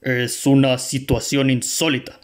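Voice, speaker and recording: A man's voice: medium pitch, level tone, measured pace, natural voice. A man with a Mexican accent playing a stiff, skeptical, formal police chief in a radio play. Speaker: amateur actor in a radio play. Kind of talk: radio drama acting.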